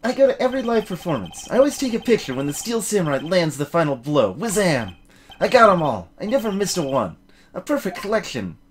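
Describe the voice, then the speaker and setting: A man voicing an excitable, defensive young boy witness who stammers when flustered, in a video game. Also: sits high, fairly quick, a little hiss or hum. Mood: proud, boastful.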